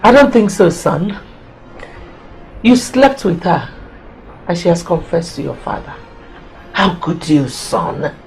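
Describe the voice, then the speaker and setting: A woman's voice: on the low side, sing-song, takes it slowly, long pauses, soft background music, exaggerated voice, low-quality recording. Woman playing a stern queen mother. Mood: reproachful. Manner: pleading and reproachful, shouting then hushed. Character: stern queen mother scolding her son.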